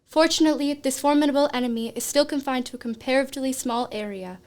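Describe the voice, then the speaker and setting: Woman as high-voiced, solemn government secretary. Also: close to an everyday voice. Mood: solemn, resolute. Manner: measured, solemn, oratorical. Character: grave government secretary addressing the nation. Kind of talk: formal radio address, play acting.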